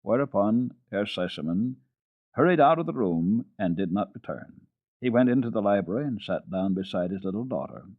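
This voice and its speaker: A man's voice: lowish voice, animated, normal speed, no echo, phone-quality sound. A man narrating classic children's literature dramatically, as a storyteller on a radio program.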